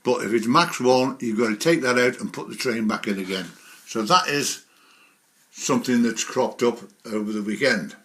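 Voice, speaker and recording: A man presents a casual software walkthrough in a medium-pitched voice. He talks in an informal, rambling way, working through each step as he explains it. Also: normal speed. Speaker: presenter of a model railway software tutorial. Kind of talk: casual spoken screen tutorial.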